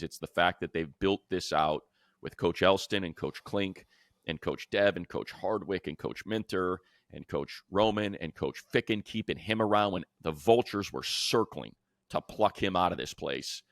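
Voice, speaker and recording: A man with a deep voice and an American accent talks sports in a very dry, close recording. He is emphatic and colloquial, speaking off the cuff like a seasoned co-host. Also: a bit bright, no echo. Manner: emphatic, colloquial, acts out imagined dialogue. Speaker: sports podcast co-host and play-by-play announcer. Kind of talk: unscripted sports analysis.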